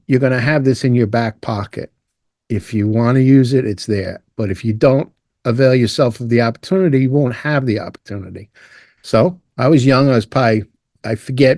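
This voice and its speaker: Older man, relaxed and rambling interview guest, dry close mic. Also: medium pitch, no room sound. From Ireland, raised in Brooklyn. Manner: relaxed, rambling, with restarts and asides. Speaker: guest, retired fire lieutenant. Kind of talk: casual storytelling interview.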